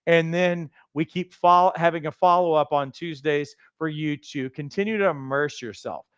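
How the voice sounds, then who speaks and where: A man with a raspy voice hosting a livestream, giving a fast, emphatic, motivational pitch for coach training. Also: a bit bright, dry recording, highs cut off. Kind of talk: energetic sales pitch on a livestream.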